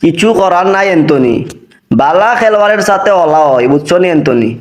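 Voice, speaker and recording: A man voicing a high-pitched Sylheti-accented cartoon footballer. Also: animated, echoey room, natural voice. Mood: consoling. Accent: Sylheti Bengali. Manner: folksy, drawn-out dialect speech. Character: adult male footballer, easygoing and consoling. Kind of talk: cartoon voice acting.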